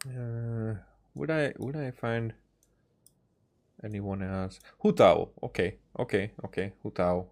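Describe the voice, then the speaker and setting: A man giving casual, rambling game commentary as a streamer, speaking haltingly and often repeating and restarting his phrases. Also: on the low side, steady tone, darkish tone. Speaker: livestreamer playing a game.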